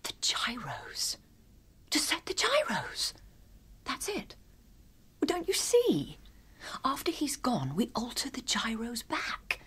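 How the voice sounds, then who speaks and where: A woman's voice: fairly high pitch, huge pitch swings, takes it slowly, pauses often, fairly bright tone, slightly rough, in a whisper, exaggerated voice. An actress playing a spirited, anxious female agent in a radio drama, urgent in manner. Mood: urgent hope.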